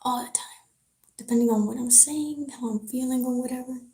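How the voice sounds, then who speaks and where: A woman with a French accent, chatting easily on a live stream and reading a novel aloud in a soft-spoken way. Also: high voice, fairly even intonation, brisk. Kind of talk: casual live-stream chat and book reading. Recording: roomy echo.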